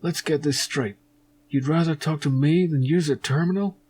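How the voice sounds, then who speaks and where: A man's voice: fairly high pitch, normal speed, darkish tone, breathy edge, pitched above the performer's own voice. A man voicing a matey, eager insurance salesman in an adventure game, tagging his lines with a questioning turn. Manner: matey, tags sentences with questioning "yeah". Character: chatty, eager male insurance salesman named Billy Anker.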